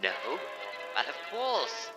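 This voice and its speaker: An actor playing a cunning young pirate with a teasing, mock-polite manner in an audio drama. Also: male voice, mid-range voice, huge pitch swings, slow, loud music behind the voice. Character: cheeky, cunning young male pirate bosun.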